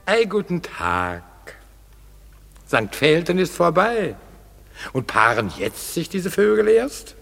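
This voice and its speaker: An actor playing a commanding duke who gives orders in an authoritative, declamatory way in a classic radio play. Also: male voice, on the high side, very animated, takes it slowly, creaky, long reverb tail, music in the background, exaggerated voice. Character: commanding duke, lord of the hunt. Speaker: ruler.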